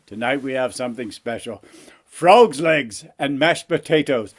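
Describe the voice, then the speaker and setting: A man voicing a genial but firm fatherly king in a comic fairy tale, speaking grandly and deliberately. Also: medium pitch, natural voice. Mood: genial, commanding.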